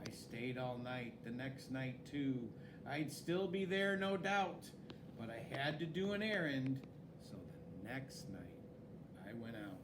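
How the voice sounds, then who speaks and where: A man reading a children's story aloud in an expressive, dramatic way, with swooping emphasis. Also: medium pitch, a little slow, heavy background noise. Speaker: story reader.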